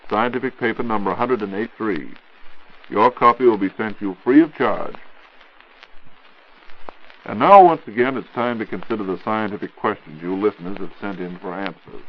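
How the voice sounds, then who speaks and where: A man with an American accent hosting a scripted 1940s radio program in a formal, measured, polished announcer's delivery. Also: fairly low pitch. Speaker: radio show host and announcer. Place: radio studio. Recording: muffled 1940s radio broadcast.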